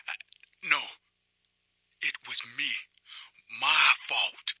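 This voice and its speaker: A guilt-ridden male detective broods aloud in a video game confessional. The voice is raspy and hard-edged, close and dry, with a halting, obsessive intensity. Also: a little high, pushed and bright, no room sound, full character voice. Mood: guilty, brooding. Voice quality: husky, raspy.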